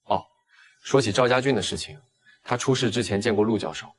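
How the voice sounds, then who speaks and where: A man playing a seemingly innocent, shrewd young university student in an animated drama. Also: on the low side, brisk, close to an everyday voice. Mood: earnest. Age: young adult. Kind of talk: audio drama acting for an animated story.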